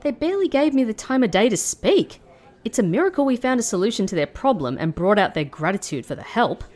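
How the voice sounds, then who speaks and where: A woman playing a composed, wry former agent working in a call centre in an expressive audio drama. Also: fairly high pitch, a little fast, roomy sound. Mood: wry, exasperated. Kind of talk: audio drama narration and dialogue.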